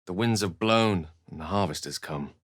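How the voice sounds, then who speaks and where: A man's voice: low voice, moderate pace, dry recording, clean studio sound. A man voicing a refined, witty wizard for a video game, speaking in an articulate, theatrical, wry way. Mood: grave storytelling. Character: eloquent, witty, refined human male wizard. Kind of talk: video game character voice lines and narration.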